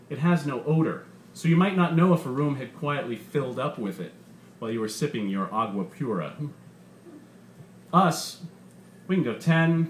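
A man's voice: mid-range voice, close to an everyday voice. A man voicing a bitter, sardonic robot stand-up comedian with a profane, punchy, menacing delivery. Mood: sly, menacing. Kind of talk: stand-up comedy routine in a short story reading. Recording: roomy bar with faint background noise.

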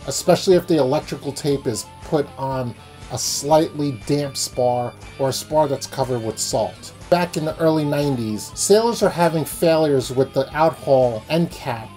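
A man's voice: a little high, warm tone. A man explaining things as a sailing channel host in a how-to vlog. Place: small indoor room.

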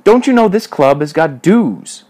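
A man's voice: on the high side, sing-song, quick pace, darkish tone, pitched above the performer's own voice. A man voicing a swaggering, muscular young bully who leads a gang in a story, with sneering, taunting, slangy talk. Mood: mocking, sneering.

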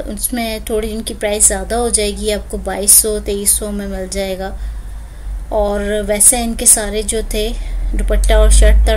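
A woman's voice: mid-range voice, a little mellow, slight background noise, rough recording. A woman, chatting through a clothing store walkthrough as a shopping vlogger, filmed on a phone. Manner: chatty, lists prices and discounts.

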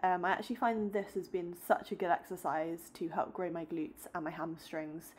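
A young woman in her twenties talks casually to her followers. Her voice sits a little low for a woman, upbeat and chatty when close to a phone, then steady and explanatory as she walks through her training. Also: moderate pace, a little hiss or hum. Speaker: fitness vlogger. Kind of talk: casual vlog chat and workout voice-over. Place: indoors at home, close to a phone camera.

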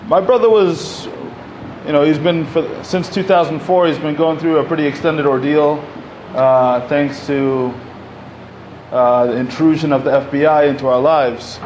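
A man's voice: on the high side, steady tone, unhurried, a little mellow, slight background noise, rough recording. A man in his thirties from Egypt, giving a conversational talk at a community center podium, full of "you know" and "like". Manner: conversational, many fillers, gestures while speaking. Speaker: panel speaker. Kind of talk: public talk at a community event. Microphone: podium mic.

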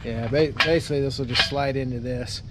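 A man's voice: mid-range voice, steady tone, a little fast, a loud crowd. A middle-aged man casually explaining how to mount parts on a bus, thinking aloud as he works. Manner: practical, thinking aloud with restarts. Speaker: handyman helping with the build. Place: outdoors by a school bus.

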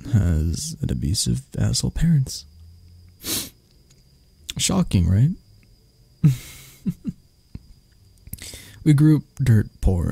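A man playing an arrogant, prickly CEO boss who turns vulnerable and flirty, in an intimate, halting ASMR roleplay that often drops toward a whisper. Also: lowish voice, gravelly, warm tone, breathy edge, no room sound, full character voice. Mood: bitter, vulnerable.

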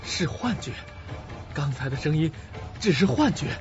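A haunted man on the edge of madness in a suspense radio drama. His fairly high voice lurches up and down as he talks agitatedly to himself, spiteful and afraid. The recording is slightly dull, with music underneath. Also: very animated, normal speed. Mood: manic relief. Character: terrified sleepless man unraveling into madness, spiteful.

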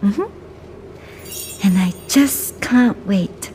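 A young woman playing a gentle, reassuring expectant mother in a video game cutscene. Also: mid-range voice, lively intonation, extremely slow, slight background noise. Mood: gentle, hopeful. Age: young adult.

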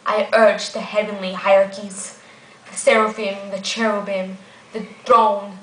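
An ancient guardian angel, acted out by a woman in a theatrical stage monologue. She is grave and weary yet resolute, speaking in a mid-pitched voice with deliberate pauses. The room rings with clear echo. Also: level tone, fairly bright tone, lightly acted. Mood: impassioned. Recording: echoey room.

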